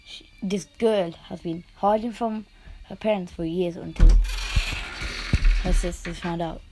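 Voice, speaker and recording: A woman's voice: fairly low pitch, steady tone, measured pace, over music. A young woman hosting a reaction video, chatting casually and offhandedly and swearing freely. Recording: noisy home recording with clicks.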